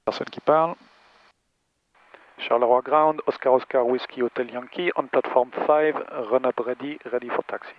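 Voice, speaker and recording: A man's voice: mid-range voice, fairly even intonation, moderate pace. Trainee pilot guy, mid voice, bit unsure, muffled cockpit radio sound. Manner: tentative, checking with short questions. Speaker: student pilot. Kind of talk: practising air traffic radio calls. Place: small training aircraft cockpit. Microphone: aviation headset. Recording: muffled aircraft radio intercom.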